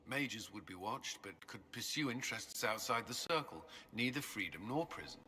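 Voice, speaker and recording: A man's voice: lowish voice, lively intonation, moderate pace, bright, forceful tone. An actor playing a guilt-ridden male templar commander in a video game, speaking solemnly and earnestly. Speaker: game character.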